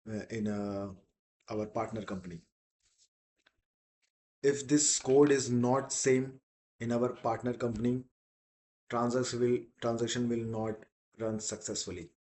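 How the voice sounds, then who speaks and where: A man teaching in a software demo, explaining step by step in a halting, repetitive way. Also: medium pitch. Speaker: software instructor.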